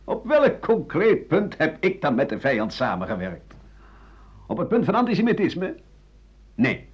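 Husky, embittered older man. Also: a little high, full character voice. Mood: indignant. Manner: aggrieved, emphatic monologue with scornful chuckles. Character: embittered older French doctor-writer accused of treason, defiant. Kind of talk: radio play monologue. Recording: dull 1980 radio drama recording.

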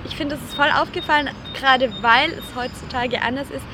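Young woman, casual student chat, high pitch, noisy outdoor recording. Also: brisk. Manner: lively, lots of fillers and restarts.

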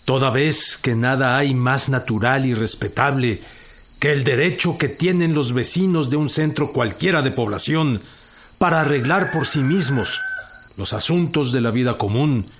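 A man's voice: mid-range voice, fairly bright tone, slight background noise, natural voice. An actor playing a solemn revolutionary-era man proclaiming a decree formally in a radio drama. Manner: formal, declamatory.

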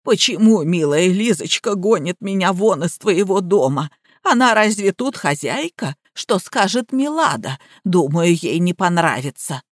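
Woman acting a sly, low-voiced old lady. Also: animated, unhurried, dry recording, clean studio sound. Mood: whimpering, plaintive. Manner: drawling, saccharine, theatrical. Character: sly haughty old woman, falsely sweet, plaintive schemer.

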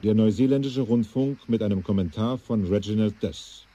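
A man playing a sober announcer in a 1980s radio drama, with a muffled, husky voice. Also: lowish voice, normal speed, warm tone, a little hiss or hum, natural voice. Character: sober male announcer of places and broadcasts.

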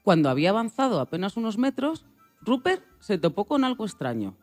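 A narrator telling a scripted children's story with expressive delivery. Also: medium pitch, brisk, soft background music.

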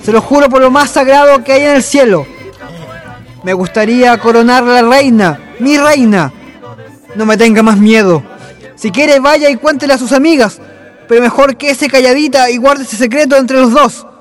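Radio drama role: brash young Chilean suitor on horseback, very high-pitched, emphatic, over clear music. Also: male voice, moderate pace. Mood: earnest pleading. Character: brash young horseman, boastful, ardent sweet-talker.

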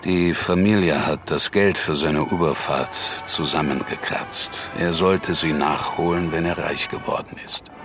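An actor playing a laconic, world-weary American man who confides haltingly in intimate radio drama. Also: very deep voice, steady tone, music in the background. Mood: resigned. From New York, United States.

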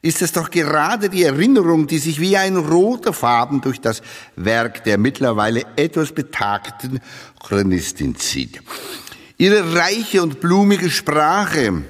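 Swooping, pompous Swiss speechmaker in a hall. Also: male voice, on the high side, expressive. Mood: solemn, pompous. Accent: Swiss German. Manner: pompous, declamatory, drawn-out emphasis. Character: grandiloquent male literary speechmaker mock-praising an author. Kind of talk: theatrical satirical eulogy. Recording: long reverberant hall.

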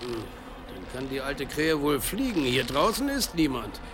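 An actor playing an uneasy village mayor out on a search in a German radio drama. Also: male voice, mid-range voice, sing-song, unhurried, background noise. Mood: tense, searching.

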